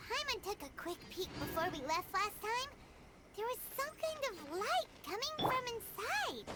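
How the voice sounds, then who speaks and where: A woman voicing a tiny, cheerful fairy companion in a game, squeaky, childlike and excitable. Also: very noisy, heavily acted. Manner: excitable, chirpy. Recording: music playing behind the voice.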